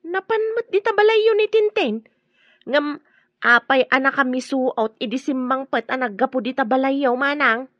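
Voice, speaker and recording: High-voiced, concerned Ilocano village woman. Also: normal speed, fairly bright tone, no room sound, highs cut off. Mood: concerned, questioning. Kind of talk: radio drama acting.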